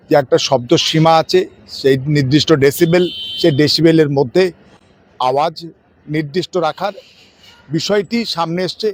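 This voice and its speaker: A man answering formally as a lawyer, measured and phrase by phrase. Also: a little high, no room sound. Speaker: lawyer being interviewed.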